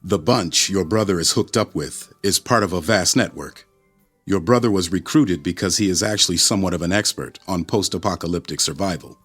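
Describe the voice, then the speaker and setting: Menacing federal agent: deep, husky, officious and icy, radio drama delivery. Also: male voice, a bit bright, soft background music. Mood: cold menace. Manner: formal, officious, measured. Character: cold, menacing male government agent.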